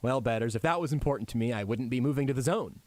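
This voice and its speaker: A man hosting a sports radio show, joking around in animated, self-deprecating on-air banter from a radio studio microphone. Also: mid-range voice, very fast, no room sound.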